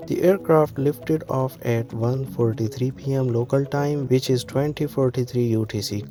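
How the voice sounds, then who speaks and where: Man, documentary narrator, scripted delivery, medium pitch, music behind. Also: normal speed.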